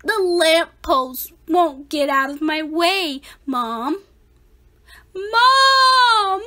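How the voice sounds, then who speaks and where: A woman's voice: very high voice, animated, drawn-out words, pushed and bright, voice pushed up, full character voice. A woman voicing an anxious young boy cat in a storybook read-aloud, pleading and whiny.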